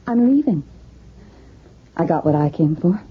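A woman's voice: lowish voice, animated, unhurried, breathy edge, exaggerated voice. An actress playing a mysterious, seductive woman in a radio drama, teasing and sultry. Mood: coolly seductive. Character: mysterious seductive woman who drains her lovers. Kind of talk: 1980s radio drama acting. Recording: dull lo-fi radio broadcast with noise.

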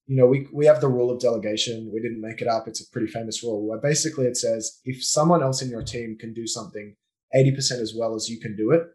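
A man with an Australian accent, a business owner speaking as a guest on a podcast in a relaxed, explanatory way with frequent fillers. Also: medium pitch, fast. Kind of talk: casual conversational interview.

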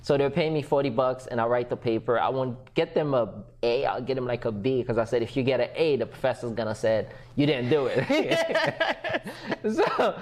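A man who grew up in Haiti, a podcast guest telling his story in a lively conversational way, often acting out what others said and laughing. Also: mid-range voice, normal speed. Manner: animated, acts out quoted voices, laughs often.

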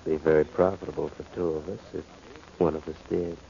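A greedy, sardonic brother from 1940s radio mystery. The voice is deep and gravelly, drily insinuating, on a muffled old recording. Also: male voice, level tone, fairly quick, mellow, slight background noise. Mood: sardonic, sly. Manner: dry, insinuating. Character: sly, sardonic greedy brother, coolly suspicious.

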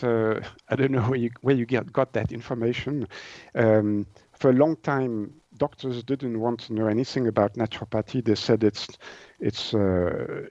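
A man's voice: mid-range voice, mellow, dry recording, lo-fi sound. A man in his sixties from Switzerland, a native French speaker with a Swiss French accent, answering interview questions conversationally and hesitantly, searching for words. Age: 60s. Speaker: naturopath and author being interviewed.

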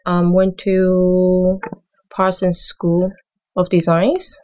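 Low-voiced middle-aged woman from Taiwan. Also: monotone, mellow. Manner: measured, halting speech with short answers. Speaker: guest, small business owner. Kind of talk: interview conversation.

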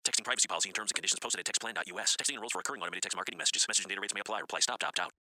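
Very fast, low-voiced male disclaimer reader. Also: loud music behind the voice. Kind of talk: rapid scripted legal disclaimer.

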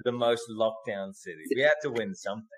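Man, low voice, podcast guest, relaxed, hesitant, lots of ums. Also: fairly quick. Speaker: podcast guest, book club founder. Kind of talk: casual conversation.

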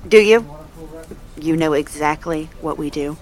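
An actress playing a brisk, businesslike woman who coordinates a charity's departments, a little stern and worn out. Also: deep voice, darkish tone, a little hiss or hum.

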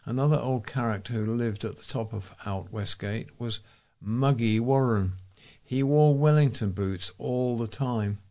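A man's voice: lowish voice, creaky, darkish tone. A man with an English accent reading local newspaper items and announcements aloud as a volunteer presenter.

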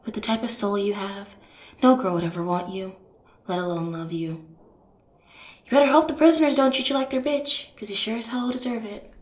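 A woman playing a cold, contemptuous police detective in an audio roleplay, speaking deliberately to a suspect she despises. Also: mid-range voice, natural voice. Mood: bitter, contemptuous. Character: stern, disgusted female police detective interrogating a killer. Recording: roomy echo on the voice.

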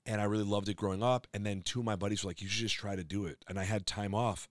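A man guesting on a podcast, a comedian telling rambling stories with a loud voice and lots of restarts. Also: lowish voice, steady tone, quick pace, no echo, clean studio sound. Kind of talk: casual interview chat.

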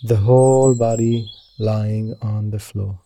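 Male meditation guide, very mellow and dark with creaky low edges, gentle and soothing delivery. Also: fairly even intonation, slow pace. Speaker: meditation teacher guiding yoga nidra.

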